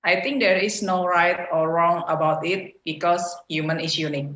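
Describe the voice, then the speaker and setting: A woman's voice: on the low side, steady tone, moderate pace, fairly bright tone, reverberant, muffled recording, a little hiss or hum. A woman with an Indonesian accent giving a prepared speech at an online club meeting, hesitating often with "uh" fillers. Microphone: video call.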